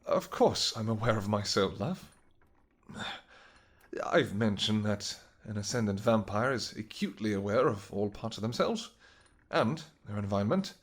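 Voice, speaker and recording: A man playing a devoted vampire king in an intimate audio roleplay, speaking earnestly and gently in halting, formal, old-fashioned words. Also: on the low side, expressive. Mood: tender, reassuring. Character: devoted vampire king, tender, anxious, apologetic husband.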